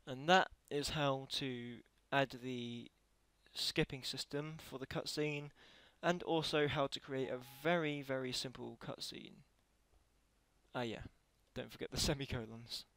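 A man giving a casual software tutorial as a voice-over, talking haltingly and correcting himself as he goes. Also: mid-range voice, smooth, no room sound. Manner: halting, self-correcting while typing. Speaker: tutorial creator.

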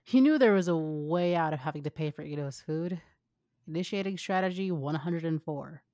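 A woman's voice: low voice, moderate pace, dark tone, dry recording, clean studio sound. A young woman co-hosting a fan fiction reading, moving between casual banter and reading aloud.

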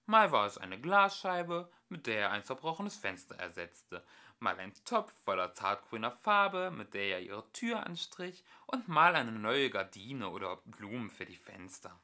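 A young man reads a children's story aloud in a bright, clear-cut tone. His delivery is expressive and lively in pitch, like an amateur audiobook narrator telling a tale to a child. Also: a little slow.

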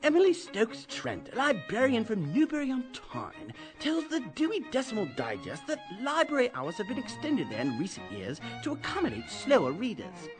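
Swooping, prim newsreader. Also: female voice, on the high side, wildly swooping pitch, moderate pace, hardly any pauses, music in the background. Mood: prim, informative. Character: droll, proper newsreader for a librarians' digest. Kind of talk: mock news narration.

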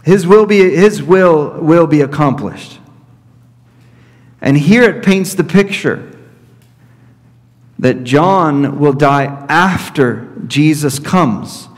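A man in his thirties, a pastor preaching an expository sermon from a pulpit, teaching deliberately and repeating his key points. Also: on the high side. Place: church sanctuary at a pulpit. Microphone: fixed camera at middle distance. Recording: reverberant church hall.

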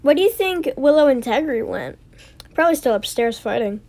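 A woman voicing characters in an amateur animated story, reading scripted lines and sometimes stumbling over them. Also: high-pitched, a little fast.

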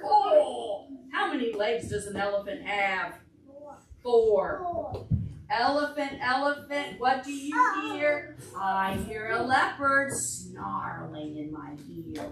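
A high-voiced woman reads a picture book aloud to small children, swooping through sing-song phrases and asking simple questions. Her pace is unhurried. She is heard from across a roomy, noisy space. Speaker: children's librarian leading toddler storytime. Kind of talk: read-aloud to toddlers with questions. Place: large carpeted library meeting room. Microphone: far camera across the room. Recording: roomy, distant mic, noisy room.

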